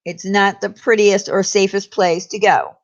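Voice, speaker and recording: Slow, chatty New woman. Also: mid-range voice, fairly even intonation. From New York. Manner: chatty, rambling, laughs easily. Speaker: livestream host. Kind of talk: casual live chat reading viewer comments. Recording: dull lo-fi livestream audio.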